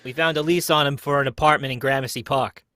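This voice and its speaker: A man playing a brisk, plain-spoken police inspector in a live radio mystery reading. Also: medium pitch, steady tone, pushed and bright, no room sound, close to an everyday voice. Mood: curious. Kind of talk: live old-time radio drama reading.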